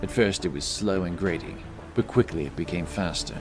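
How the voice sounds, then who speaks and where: A man's voice: sits low, brisk, music in the background. A man reading scripted, dramatic narration for a fantasy adventure story.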